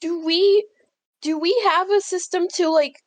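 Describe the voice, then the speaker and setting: A woman's voice: very high-pitched, fairly even intonation, measured pace, no room sound. A woman playing a tabletop role-playing game, thinking aloud in informal, giggly chat.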